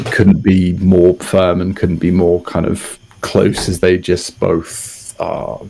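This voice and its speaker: A British man improvising a story as a player in a tabletop roleplaying show, hesitant and halting. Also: low voice, creaky, mellow, slightly rough, low-quality recording.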